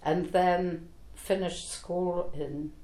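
Slow-speaking older woman recalling her childhood. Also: fairly low pitch, steady tone, warm tone. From Poland. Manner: slow, reflective recollection with fillers. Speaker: interviewee. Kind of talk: oral history interview. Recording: echoey room.

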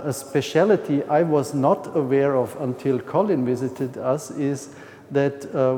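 A man's voice: mid-range voice, dark tone, long reverb tail, a little hiss or hum. A man from Austria, speaking English with a heavy Austrian German accent, gives a nervous, hesitant conference presentation with many fillers and restarts.

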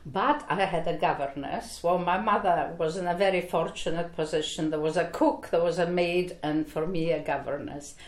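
An older woman from Poland, recounting her early life in an oral history interview, with slow, reflective speech and frequent fillers. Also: on the low side. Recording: echoey room.

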